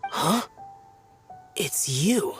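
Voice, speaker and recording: Solemn, aloof immortal guardian. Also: male voice, a little high, big pitch swings, very slow, a bit bright, soft background music, full character voice. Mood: grave. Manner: grave, terse, formal. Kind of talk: anime-style video game voice acting.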